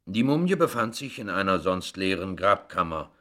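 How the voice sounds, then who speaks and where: A man's voice: low voice, sing-song, moderate pace, a bit bright. A male actor playing a matter-of-fact, obliging professor in a radio play, speaking precisely and in an educated way. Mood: helpful, factual.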